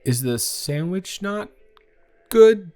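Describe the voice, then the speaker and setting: A man playing a sweet, playfully teasing young college student in a romantic audio roleplay, speaking casually and haltingly with repeated words. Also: sits high, expressive, dark tone, soft background music. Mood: gently concerned. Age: young adult.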